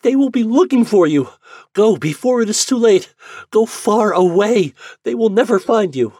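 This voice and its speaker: A man voicing a young peasant girl, pleading high and urgent in an audiobook. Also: lively intonation, moderate pace, darkish tone, dry recording, studio quality. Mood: desperate.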